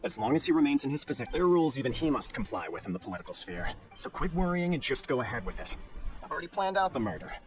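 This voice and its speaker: A man's voice: medium pitch, very animated, very fast, slight background noise, close to an everyday voice. A man playing a bitter, scheming villain in a video game, with a smug, sneering manner. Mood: smug, plotting.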